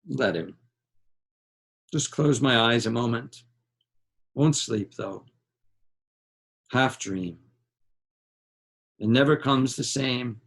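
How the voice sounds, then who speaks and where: A man reading a literary novel aloud in a measured, dramatic way, with deliberate pauses between short phrases. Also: mid-range voice, fairly bright tone.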